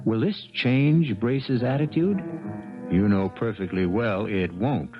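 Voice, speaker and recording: A man hosting a 1970s radio drama with deliberate, dramatic scripted narration. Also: on the low side, over music. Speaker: program host. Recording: dull 1970s radio broadcast.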